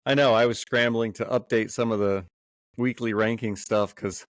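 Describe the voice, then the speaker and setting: A man's voice: medium pitch, steady tone, moderate pace, dry recording. Young male co-host, congested with a cold, casual and hedging, dry close sound. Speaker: fantasy football co-host. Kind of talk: casual podcast analysis.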